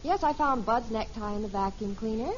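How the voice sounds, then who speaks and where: A woman's voice: fairly high pitch, animated, fairly quick, natural voice. An actress playing an affectionate, chatty middle-aged wife and mother in a 1950s radio family comedy. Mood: cheerful. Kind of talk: 1950s radio sitcom acting. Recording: muffled, noisy vintage radio recording.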